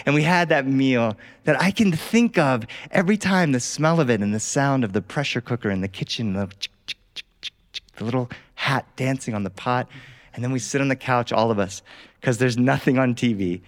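A man telling a first-person story in an animated way, as a storyteller performing live. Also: mid-range voice, fairly quick. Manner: animated, acts out family dialogue.

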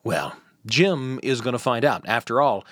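A man's voice: medium pitch, lively intonation, normal speed, fairly bright tone, no room sound. Man hosting, dry close sound. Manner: wry, conversational storyteller. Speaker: podcast host. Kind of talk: casual scripted narration.

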